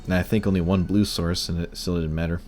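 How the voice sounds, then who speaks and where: A man streaming a card game, talking through his plays in casual commentary, hoarse and losing his voice, wry and muttering at bad luck. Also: deep voice, level tone, quick pace, noisy background. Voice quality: breaking, cracking voice.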